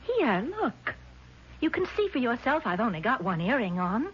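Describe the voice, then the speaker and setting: Indignant, rattled woman in vintage radio drama; higher-pitched, insistent, faint noise on an old broadcast. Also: very animated, moderate pace, muffled recording. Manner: emphatic, indignant, faltering when doubted. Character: agitated, confused woman insisting on her memory. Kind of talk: 1970s radio drama acting.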